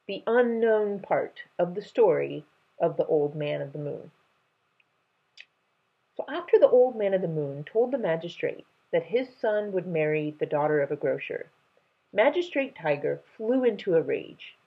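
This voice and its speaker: A young adult woman reads a children's novel aloud expressively, with a husky voice, indoors at home. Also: on the low side, animated, unhurried, narrow, tinny audio. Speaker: read-aloud storyteller.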